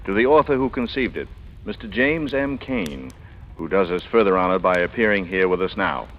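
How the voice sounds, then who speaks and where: A man's voice: low-pitched, lively intonation, normal speed. A man hosting a 1940s radio show in a courtly, formal, eloquent manner. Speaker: radio host. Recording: muffled 1940s radio recording.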